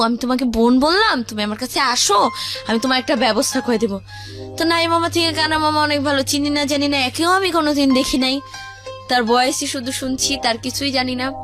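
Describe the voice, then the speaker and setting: A young woman with a Bangladeshi accent telling her life story in a colloquial, conversational way, often voicing what others said. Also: sits high. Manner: colloquial, acts out quoted speech.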